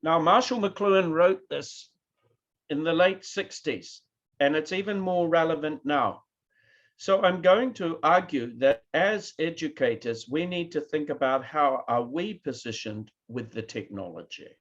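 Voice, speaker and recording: A man's voice: on the high side, lively intonation, unhurried, a bit bright, dull audio. A man with a New Zealand accent giving a keynote lecture to an online conference audience, now and then asking them to react. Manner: addresses a live online audience, asks for reactions. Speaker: keynote speaker.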